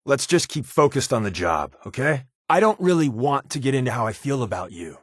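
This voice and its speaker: A wisecracking undercover spy from a video game. He is an American man with a mid-pitched voice that swings freely up and down. His talk is glib, casual and sardonic, recorded close and dry. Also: very animated, fairly quick, no room sound. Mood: guarded, disapproving. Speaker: video game companion character. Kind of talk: video game voice acting, conversational dialogue.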